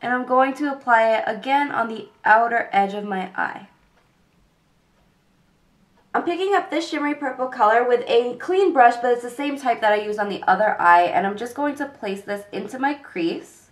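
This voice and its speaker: A young woman with a cold and a congested voice, calmly talking through a makeup tutorial step by step. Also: fairly high pitch, moderate pace. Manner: calm step-by-step explaining with fillers. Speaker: beauty vlogger.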